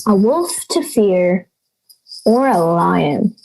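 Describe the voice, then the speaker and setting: A young woman acting a lonely, fearful girl who voices brooding inner thoughts in halting phrases in an audio drama. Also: medium pitch, expressive, creaky, music in the background, full character voice, rough recording. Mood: wistful, uneasy.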